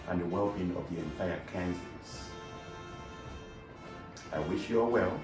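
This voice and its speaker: A male physician from Nigeria with a deep voice gives a formal, measured greeting. He sounds distant from the mic in an echoey space. Music plays clearly underneath. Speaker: clinic owner, physician. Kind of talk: recorded video greeting.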